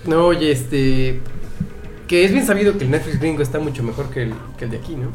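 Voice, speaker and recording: A man's voice: fairly high pitch, a little fast, darkish tone, a little hiss or hum. A man with a Mexican accent co-hosting a podcast, rambling in slangy, easily amused casual talk. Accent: Mexican Spanish. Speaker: podcast co-host. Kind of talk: casual chat.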